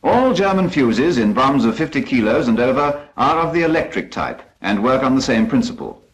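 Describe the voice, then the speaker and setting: British guy narrating an old 1940s instructional film, formal and precise, mid pitch that moves around a lot. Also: sing-song, normal speed, low-quality recording.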